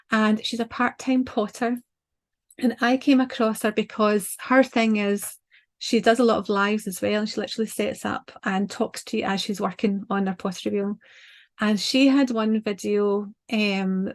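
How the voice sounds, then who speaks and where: An older woman with a Scottish accent talks casually through social media tips as a workshop guest. Her voice sits in a middling register and barely rises or falls. She rambles along with frequent ums and likes. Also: mid-range voice. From Scotland. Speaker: designer and small business owner as guest.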